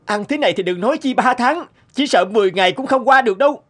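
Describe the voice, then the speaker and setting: Man playing haughty, high-pitched cartoon servant. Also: animated, quick pace, a bit bright, exaggerated voice. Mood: alarmed. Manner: scornful, exclamatory. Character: haughty male servant sneering at a poor girl. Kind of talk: animated folk tale dubbing.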